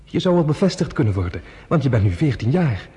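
A gentle fatherly judge. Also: mid-range voice, big pitch swings, quick pace, husky, big-room sound. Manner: soft, fatherly and reassuring. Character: kind older male Danish judge, gentle foster father. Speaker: radio drama actor. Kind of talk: radio play acting.

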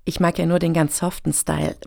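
Actress doing gushy self-important activist lady in a satire radio play, bit of fry, dry studio sound. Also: deep voice, little pitch movement, a little fast, a little mellow, no echo. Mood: gushing. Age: middle-aged. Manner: earnest, jargon-heavy, self-assured. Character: self-important, gushing woman pitching an activist initiative.

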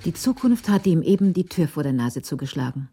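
A woman's voice: low-pitched, big pitch swings, a little fast, warm tone. A woman giving scripted narration in a radio crime drama.

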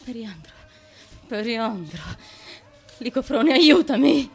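An actress playing a murdered young queen of ancient Corinth in a radio drama, dazed and proud, with a breathy, incantatory, repetitive delivery. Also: fairly high pitch, animated, slow, faint music behind, exaggerated voice. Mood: pleading, confused. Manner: incantatory, repetitive, shifting from murmurs to cries. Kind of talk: theatrical radio drama monologue and dialogue.

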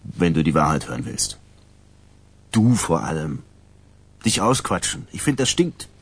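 An actor playing a sullen, hot-tempered mobster's son, husky and curt, in a radio crime drama. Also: male voice, deep voice, sing-song, measured pace, exaggerated voice. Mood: angry, resentful. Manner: curt, aggressive.

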